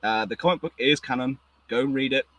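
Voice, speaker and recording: A hoarse man hosting a casual podcast chat, talking excitedly and rambling with many restarts. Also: mid-range voice, a little fast. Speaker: podcast host.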